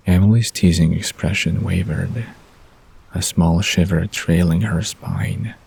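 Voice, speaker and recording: Deep, gravelly, slow male storyteller. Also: fairly even intonation, a little mellow, slight background noise. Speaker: narrator. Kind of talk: scripted story narration for bedtime audio drama.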